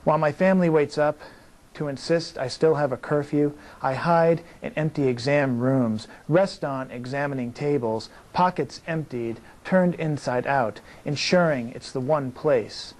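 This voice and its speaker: A man's voice: fairly high pitch, measured pace, darkish tone. A man reciting poetry steadily as a writer at a reading, in long running lines. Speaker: poet reading own work.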